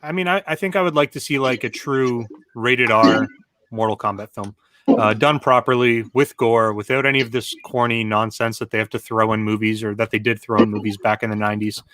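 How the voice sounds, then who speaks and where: A man co-hosts a casual live-streamed chat and speaks in a measured, hesitant way, padding his thoughts with fillers. His voice is bright and forward. The recording is dry, with no room sound. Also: on the low side, steady tone, no room sound. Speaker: co-host.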